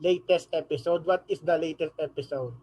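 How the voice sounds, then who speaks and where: A man hosting an interview, asking questions in halting, simple phrases and repeating words. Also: fairly high pitch, crowd noise. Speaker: interview host.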